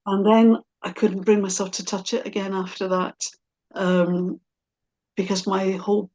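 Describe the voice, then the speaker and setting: A middle-aged woman in a casual, personal conversation. She speaks at a medium pitch and thinks aloud, feeling her way through her sentences with some hesitation. Her manner is thoughtful and self-reflective. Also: little pitch movement, a little slow, a little mellow, dull audio.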